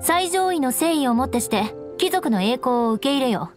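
A haughty young aristocrat, high composed voice, frank and aloof, anime game with soft music. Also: female voice, animated, moderate pace. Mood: grandly formal. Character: proud, cool young noblewoman, frank and aloof.

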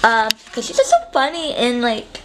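A woman's voice: on the high side, very animated, normal speed, a bit bright, a little hiss or hum. A young woman vlogging casually in her bedroom, talking to a handheld camera held close to her face, rambling with lots of fillers and restarts. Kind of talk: casual vlog chat to camera. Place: small bedroom, indoors.

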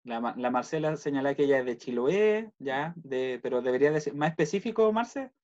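A man's voice: medium pitch, lively intonation, brisk, a little mellow. A man with a Chilean accent casually hosting an online meeting and reading out messages over a video call. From Puerto Montt, Chile. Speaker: host of a virtual university welcome session. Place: indoors at home, on a video call. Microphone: computer video-call microphone. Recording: dull lo-fi video call audio.

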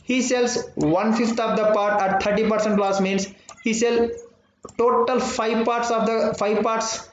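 A man's voice: high voice, level tone, unhurried. Indian guy teaching math online, says my dear friends a lot, repeats stuff, echoey muffled mic with a bit of hiss. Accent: Indian English. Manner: repeats phrases, keeps saying "my dear friends" and "understood or not". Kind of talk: live online math class. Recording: dull, roomy audio with faint noise.

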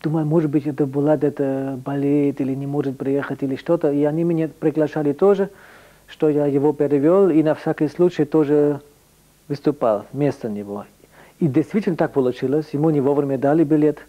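A man in his fifties, a native German speaker with an accent tinged by the Caucasus, telling stories haltingly in a home living room as an interview guest. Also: a little high, lo-fi sound. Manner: halting, searching for Russian words. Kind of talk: storytelling in conversation.

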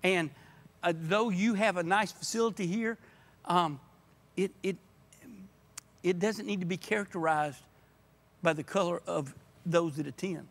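A man's voice: high voice, measured pace, pauses often, fairly bright tone. An older man speaking earnestly and passionately as a church member in a podcast conversation. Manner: passionate, emphatic. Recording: podcast audio.

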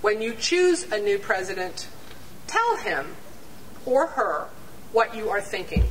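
An older woman reading a prepared statement aloud to a rally on an outdoor lawn, measured and deliberate, sometimes stumbling and restarting over numbers. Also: mid-range voice, sing-song. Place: outdoor campus lawn before a large crowd. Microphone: standing mic on stand, picked up from a distance. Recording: distant outdoor pickup with crowd noise.

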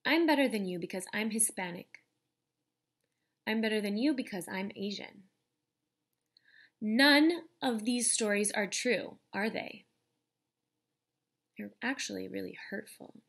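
A young woman reads a picture book aloud as a teacher would for small children. Her pace is slow and deliberate, and her pitch sits in the middle range. She is expressive and speaks straight to the young listener. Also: vocal fry, slightly rough.

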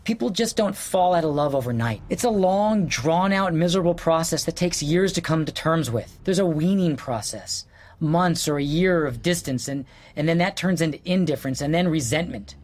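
An actor playing an exasperated, sarcastic husband in an audio drama, hurt and profane in a late-night argument. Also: male voice, fairly high pitch, lively intonation, moderate pace, darkish tone, close to an everyday voice. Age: 40s.

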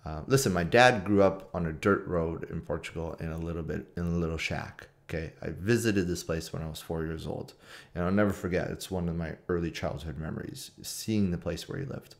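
A Canadian man in his late thirties speaks in a deep register. He tells a personal story in an informal, conversational way, often repeating a phrase to drive it home. It has the feel of a video host talking straight to his followers from a room at home. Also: a little fast. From Toronto, Canada. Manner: informal, conversational, with repetition for emphasis. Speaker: trader and trading community video host. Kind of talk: casual personal storytelling to camera.

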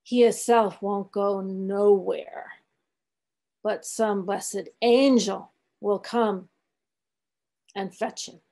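Lady doing a really old sullen sea cook, highish voice, muttery dialect, storybook reading. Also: animated, a little slow, darkish tone. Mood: solemn. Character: grumbling, weary ninety-year-old male ship's cook. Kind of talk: literary audiobook character reading.

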